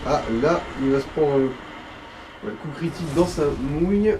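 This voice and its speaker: A man with a somewhat high voice comments casually on a game and advises the player. His talk is slangy and joking. The recording is noisy and he sounds a little distant from the mic. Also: normal speed.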